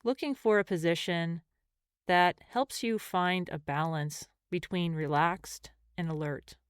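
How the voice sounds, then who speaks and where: A woman leading a guided meditation as a mindfulness teacher, speaking gently and in measured phrases with pauses. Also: fairly low pitch, clean tone, no echo, clean studio sound.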